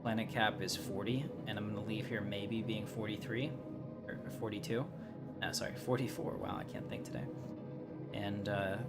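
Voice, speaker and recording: A man live-streaming a game in a lowish voice. He rambles casually to his viewers between bits of play, and loud game music runs behind him. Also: fairly even intonation, moderate pace. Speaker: live streamer and YouTube gamer. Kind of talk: casual live gameplay commentary.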